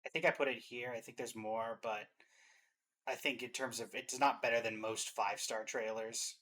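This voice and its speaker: A man's voice: medium pitch, fairly even intonation, moderate pace, sharp tone. Playful man chatting as reaction host. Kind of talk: casual chat, commentary.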